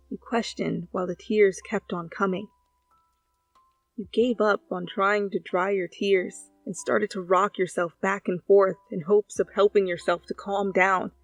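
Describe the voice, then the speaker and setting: A woman's voice: mid-range voice, no echo. A woman reading scripted second-person fiction as a narrator, close to the microphone. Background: soft background music.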